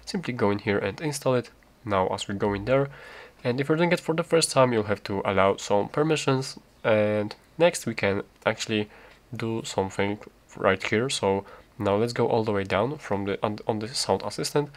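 A man hosting a step-by-step tech tutorial, explaining in a halting, repetitive way. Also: on the low side. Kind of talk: step-by-step spoken walkthrough.

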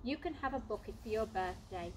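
A woman's voice: medium pitch, lively intonation, brisk, a little hiss or hum, close to an everyday voice, poor audio. A woman voicing an indulgent, teasing father in a children's storybook, coaxing and humouring his child. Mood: giving in.

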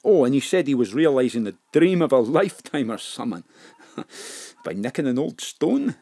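A man's voice: mid-range voice, big pitch swings, moderate pace, warm tone, no room sound, studio quality. A man playing a chatty, cheeky small-time crook in an audio drama, talking in an offhand, colloquial way.

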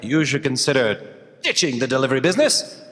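A man's voice: medium pitch, very animated, a little fast, fairly bright tone. A man playing a brash, intrepid space detective hero in a staged comedy audio drama, speaking theatrically and emphatically. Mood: earnest, admiring. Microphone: stand microphone. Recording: live theatre stage with long hall reverb.

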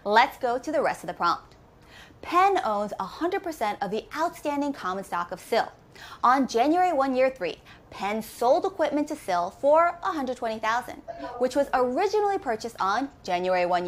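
A woman in her thirties teaching accounting, walking through each step and asking quick questions as she goes. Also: on the high side, a bit bright. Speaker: accounting instructor. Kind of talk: recorded lesson walkthrough. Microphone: camera framed head and shoulders.

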